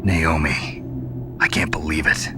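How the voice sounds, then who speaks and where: Deep, gruff, gravelly special agent. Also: male voice, steady tone, slow pace. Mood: disbelieving. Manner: clipped, terse, skeptical questioning. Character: gruff, terse, weary male government special agent. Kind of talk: dramatic video game voice acting. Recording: loud music behind the voice.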